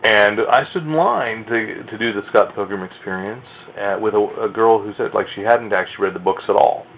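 A man's voice: lowish voice, brisk. A middle-aged man hosting a podcast, chatting casually about comics. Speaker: podcast host. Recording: phone-like lo-fi sound, faint noise.

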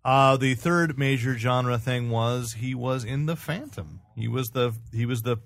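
Man, mid-pitched, podcast host, casual news chat, conversational. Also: measured pace, a bit bright, no echo. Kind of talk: casual panel banter about fan news.

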